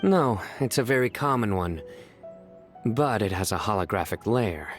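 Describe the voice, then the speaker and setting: A man voicing a stern, deadpan young judge who speaks formally and loves bad puns. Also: lowish voice, normal speed, music in the background, natural voice. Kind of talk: video game voice acting.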